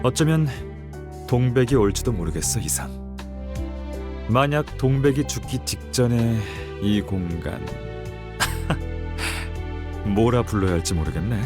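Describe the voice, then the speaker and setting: An actor playing a smug, evasive young man in a Korean game, talking in a casual, taunting drawl. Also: mid-range voice. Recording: music behind the voice.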